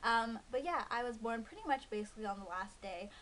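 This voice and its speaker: A woman's voice: a little high, brisk, fairly bright tone, low-quality recording. A woman in her early twenties chatting casually to camera, rambling with lots of ums and likes. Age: 20s. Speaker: beauty vlogger. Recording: faint hiss.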